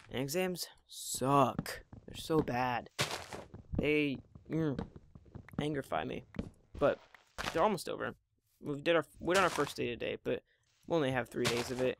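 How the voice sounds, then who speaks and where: A hoarse teenage boy in his mid-teens, with occasional voice cracks, chatting casually while playing a building game at home. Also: medium pitch, background noise. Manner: rambling, chatty, self-deprecating. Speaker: Minecraft gameplay channel host. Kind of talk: casual gameplay commentary.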